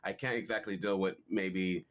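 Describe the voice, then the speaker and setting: Rambling man on voice chat, low-ish voice. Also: fairly even intonation, moderate pace. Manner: rambling, restarts often. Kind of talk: casual voice chat with friends.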